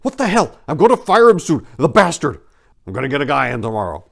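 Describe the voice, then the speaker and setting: A man's voice: fairly high pitch, wildly swooping pitch, fast talker, gravelly, voice pushed up, heavily acted. A man voicing a hot-tempered, foul-mouthed male bar owner who talks in a blunt, bossy way in a dramatic audiobook reading. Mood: furious yelling.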